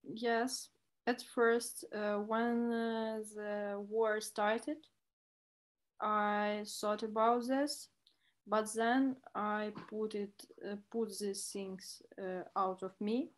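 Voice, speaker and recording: A woman's voice: medium pitch, steady tone, very slow. A young woman with a Ukrainian accent, a student answering in an interview, very hesitant as she searches for words in a language that is not her first. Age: young adult. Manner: very hesitant, searching for words in non-native English. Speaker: university student, interview guest.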